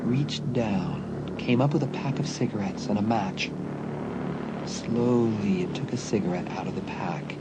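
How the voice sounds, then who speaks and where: A man narrating a dramatised horror audiobook with suspenseful, deliberate phrasing. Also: on the low side, very noisy.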